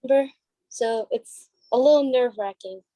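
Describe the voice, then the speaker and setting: A woman's voice: on the high side, normal speed, dark tone, no echo. A woman giving casual interview answers as a cast member, hesitant in how she talks. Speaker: novice stage play cast member.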